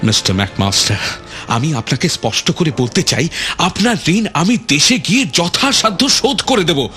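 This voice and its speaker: A man's voice: fairly high pitch, sing-song, moderate pace, faint music behind. An actor playing a frightened captive in a radio drama, agitated and stammering as he pleads to escape. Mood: protesting. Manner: agitated, stammering repetitions, swinging from gasps to shouts.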